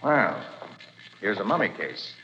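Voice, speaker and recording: A calm, authoritative detective in a vintage radio drama, his low voice bright and lively as he lays out the facts. The sound is dull and lo-fi, with faint hiss. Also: full character voice. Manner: measured, authoritative. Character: calm, assured male detective. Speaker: private detective. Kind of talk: 1940s radio acting.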